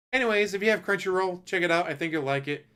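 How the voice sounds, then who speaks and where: A man giving a loose, profane spoken review of an anime, ranting casually and acting out characters in quick asides. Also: high-pitched, sharp tone, clear, resonant tone.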